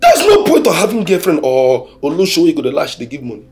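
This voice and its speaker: An actor with a Nigerian accent playing a joking young man who teases his friend excitably. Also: a little high, quick pace, noisy background. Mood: playful. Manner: playful, teasing, excitable.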